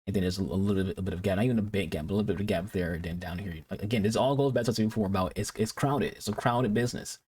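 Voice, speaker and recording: A man from Detroit hosts a casual reaction commentary, his low voice husky at the edges. He talks quickly and rambles, restarting sentences and repeating words as thoughts catch up. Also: a little mellow. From Detroit, United States. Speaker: reaction video host.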